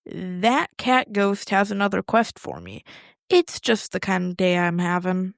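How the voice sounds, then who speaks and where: A woman's voice: medium pitch, expressive, unhurried, vocal fry, no room sound, clean studio sound. A woman voicing a chatty, eager and nervous young girl adventurer in an audio storybook, rambling and wide-eyed. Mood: resigned, caring.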